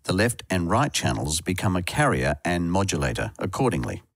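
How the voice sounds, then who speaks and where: A man's voice: low-pitched, very animated, brisk. A man reading scripted instructional narration for a tutorial as a voice-over. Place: close, dry voice-over recording.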